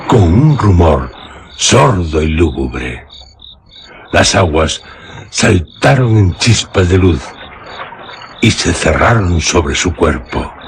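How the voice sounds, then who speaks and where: Very deep, solemn male storyteller. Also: background noise. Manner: solemn, deliberate, with dramatic pauses. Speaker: radio storyteller. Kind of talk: dramatic scripted literary narration.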